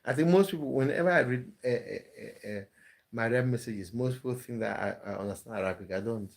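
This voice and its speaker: A man's voice: mid-range voice, a little fast, gravelly. A man hosting a casual live stream, reading viewers' comments aloud and answering them with easy laughter.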